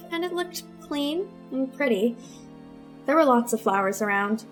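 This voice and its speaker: A woman's voice: a little high, close to an everyday voice. An actress playing a bitter, sulky teenage girl in an old-time radio melodrama, with a dramatic, accusing manner. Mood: wry storytelling. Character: bitter, petulant teenage girl narrating her grievances.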